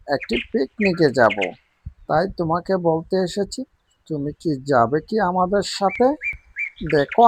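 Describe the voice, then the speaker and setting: An eager male tailorbird from a cartoon, voiced by a man, with a fairly high voice. He is friendly, earnest and coaxing, over faint noise.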